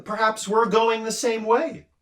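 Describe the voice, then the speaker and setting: A man voicing a polite, curious young boy in a storybook reading, his voice raised higher. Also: little pitch movement, a little slow, a bit bright, distant mic.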